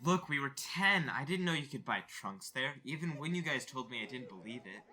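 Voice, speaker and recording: A man's voice: medium pitch, animated, fairly quick, sharp tone, slightly rough. A man playing an earnest, friendly, geeky young convention fan, chatty and apologetic, in a fan comic dub. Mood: sheepish. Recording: music behind the voice.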